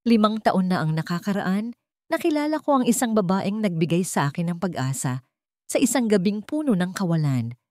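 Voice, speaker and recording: A woman's voice: medium pitch, big pitch swings, normal speed, warm tone, no echo, studio quality, lightly acted. A woman voicing a protective, determined male CEO in a dramatic storybook, solemn and emphatic.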